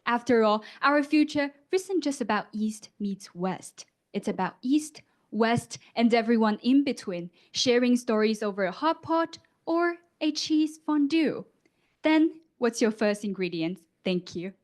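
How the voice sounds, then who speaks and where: A woman's voice: a little high, unhurried. Young woman giving a rehearsed speech. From Guangdong, China. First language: Cantonese. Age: young adult. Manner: rehearsed, expressive delivery with rhetorical questions. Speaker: student contestant. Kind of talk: prepared competition speech.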